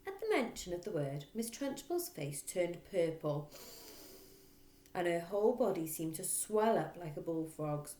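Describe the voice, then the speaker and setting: A woman's voice: fairly low pitch, sing-song, measured pace, mellow. A woman narrating a children's story expressively, as a teacher reading aloud. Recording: roomy echo.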